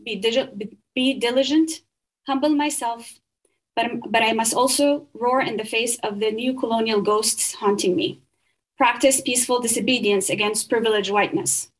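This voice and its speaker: A woman speaks in a somewhat high voice. She is earnest and measured, reading poetry and then explaining her research, stumbling and restarting now and then. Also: rough recording. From Saudi Arabia. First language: Arabic.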